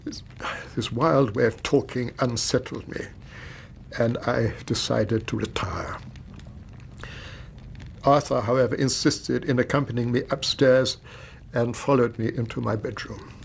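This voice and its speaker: A man's voice: medium pitch, fairly even intonation, gravelly, slight background noise. An actor playing an anxious, upright older British banker and weary father, speaking formally in a radio drama. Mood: troubled recounting. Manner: formal, measured, distressed. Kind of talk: BBC radio drama acting.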